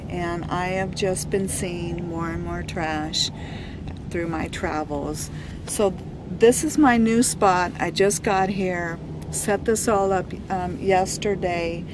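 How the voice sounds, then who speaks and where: Slow-talking chatty woman outdoors. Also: mid-range voice. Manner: chatty, explains step by step with frequent "okay" and "um". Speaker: van life vlogger. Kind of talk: casual how-to vlog talk. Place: outdoors at a desert campsite under a sun shade. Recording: outdoor recording with clear wind and vehicle noise.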